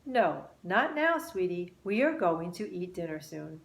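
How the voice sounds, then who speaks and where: A woman's voice: on the low side, big pitch swings, a little slow, gravelly, echoey room, full character voice. A woman voicing a firm but affectionate mother in a children's storybook. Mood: gently firm.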